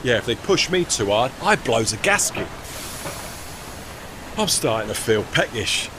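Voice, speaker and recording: An actor voicing a chummy, unschooled working steamboat in an audio play, blunt and colloquial, with a London Cockney accent. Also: male voice, medium pitch, big pitch swings, unhurried, noisy background. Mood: breezy. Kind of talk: audio drama dialogue.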